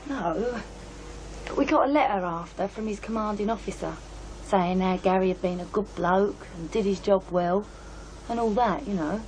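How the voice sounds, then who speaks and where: A woman's voice: mid-range voice, moderate pace, darkish tone, a little hiss or hum, natural voice, poor audio. An actress playing a guarded, matter-of-fact young working-class woman with a British accent and blunt colloquial speech in an audio drama. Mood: flat, offhand. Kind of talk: radio drama dialogue.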